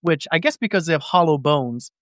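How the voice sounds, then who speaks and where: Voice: medium pitch, expressive, fairly quick, no echo, clean studio sound. A podcast host recapping things in a casual, comedic way, with slangy, profane, jokey storytelling.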